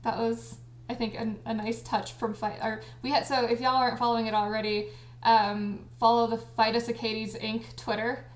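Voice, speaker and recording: Giggly, higher-voiced woman in an echoey room. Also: normal speed. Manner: giggly, excitable, frequently breaking into laughter. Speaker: audio drama creator and cast party host. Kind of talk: casual livestream chat. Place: indoors on a group livestream call.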